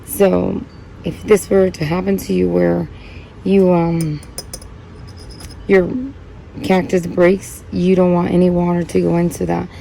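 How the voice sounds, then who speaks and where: A woman's voice: on the low side, little pitch movement, a little slow, gravelly, pauses often, dark tone, a little hiss or hum, rough recording. A woman who was born in Puerto Rico and grew up in Connecticut, hosting a plant channel in a rambling, chatty way with many fillers as she repots cacti. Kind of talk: casual chat while repotting cacti.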